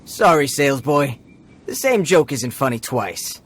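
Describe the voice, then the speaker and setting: An actor playing a sneering, streetwise bandit in a video game, slangy, mocking and swaggering. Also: male voice, mid-range voice, very animated, unhurried, slight background noise. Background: game music.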